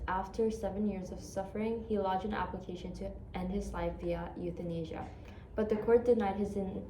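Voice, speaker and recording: A woman's voice: mid-range voice, warm tone, slight background noise. A young woman from Indonesia, a law student, steadily reading case facts and legal articles aloud. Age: young adult. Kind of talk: read-aloud presentation. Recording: echoey room, a bit far from the mic.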